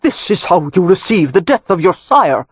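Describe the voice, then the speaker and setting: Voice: medium pitch, lively intonation, moderate pace, darkish tone, breathy edge, no echo. A reader voicing a proud, imperious old Irish earl with an Anglo-Irish accent, who speaks in a cold, commanding and dismissive way. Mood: haughty. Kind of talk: audiobook character reading.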